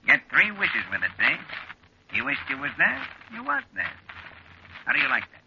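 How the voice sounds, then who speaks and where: A wry, easygoing American man in an old radio drama. He talks in a folksy, slangy way with a fairly high, very animated pitch, over a hissy vintage recording. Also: normal speed, dull audio. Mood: sly, chatty. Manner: folksy, ungrammatical, colloquial. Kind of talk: 1940s radio drama acting.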